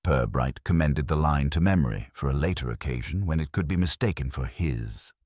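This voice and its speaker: A man with a very low voice narrates a scripted story in a measured, literary way. His tone is husky and the pitch moves in lively swings, recorded close and dry. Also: dry recording.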